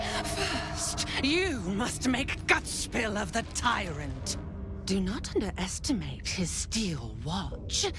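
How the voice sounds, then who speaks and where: A woman voicing a sadistic, bloodthirsty cultist assassin in a video game, theatrical and taunting. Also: mid-range voice. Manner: theatrical, taunting, slow savoured menace. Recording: voice over loud background music.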